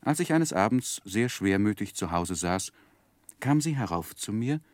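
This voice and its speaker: A man acting an earnest, lovestruck young gentleman who recalls his youth in formal, gently self-mocking in a 1960s radio drama. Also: lowish voice, expressive, a little slow, no echo. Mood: wistful, wry. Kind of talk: literary first-person narration, 1960s German radio drama.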